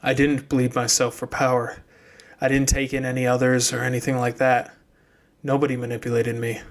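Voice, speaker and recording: A man's voice: medium pitch, flat delivery, moderate pace, close to an everyday voice. A man voicing a troubled young male apprentice in an audiobook, blunt, defensive and bitter. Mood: defeated, bitter.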